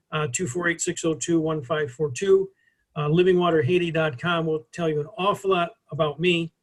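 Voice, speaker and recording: A man's voice: on the high side, a bit bright, rough recording. A man giving a hesitant, filler-heavy project presentation over a video call.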